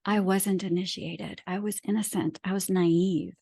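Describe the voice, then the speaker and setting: A woman's voice: mid-range voice, steady tone, unhurried, vocal fry, a little mellow, husky, no room sound. A woman from Pakistan who speaks English as a second language, talking as an author and guest in a reflective interview, with an earnest, emphatic, philosophical manner. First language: not English; English is her second language.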